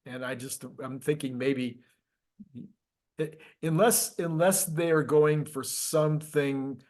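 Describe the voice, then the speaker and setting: A man hosting a casual interview, posing questions and musing out loud about his own hunches. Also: a little high, fairly even intonation, takes it slowly. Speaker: host interviewer. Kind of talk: casual chat.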